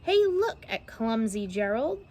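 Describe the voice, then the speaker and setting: A woman voicing a crowd of jungle animals in a storybook, sneering and shouting. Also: on the high side, measured pace. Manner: sneering, then excited shouting. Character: crowd of jungle animals, mocking then amazed.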